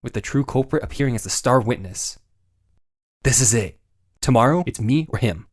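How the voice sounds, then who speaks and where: Woman as a very low-voiced young male lawyer. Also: animated, moderate pace. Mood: brooding. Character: earnest, wry young male defense lawyer. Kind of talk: video game fan dub with narration.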